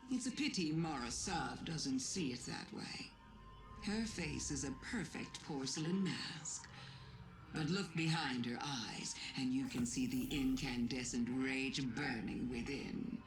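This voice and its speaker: A woman playing a sly ancient alien witch-queen in a video game, smug and silkily taunting, with deliberate, insinuating delivery. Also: low voice. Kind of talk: theatrical scripted game monologue. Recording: echoing voice under clear music.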